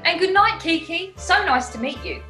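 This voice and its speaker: Plucky English maid, played by a woman, high and very animated, polite, chirpy, 1940s radio comedy. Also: moderate pace, faint music behind, full character voice. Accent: British. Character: plucky, cheerful, polite young English housemaid.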